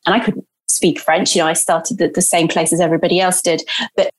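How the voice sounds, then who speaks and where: A woman's voice: on the low side, level tone, fast. A woman from Brazil who grew up in England, telling her own story as an interview guest in a chatty, reflective way. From born in Brazil, grew up in England from age eight. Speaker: podcast guest, journalist and writer. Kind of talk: casual personal storytelling in an interview.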